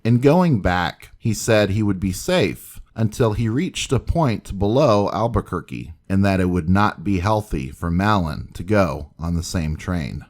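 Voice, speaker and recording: A man's voice: low voice, expressive, measured pace, few pauses, a little mellow. A man hosting a history video, reading scripted documentary narration.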